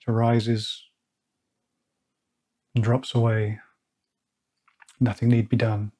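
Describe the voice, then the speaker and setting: A man leading a guided meditation, speaking slowly and softly with long pauses. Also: mid-range voice, level tone. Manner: slow, soft-spoken, with long pauses. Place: outdoors or by an open window with birds nearby.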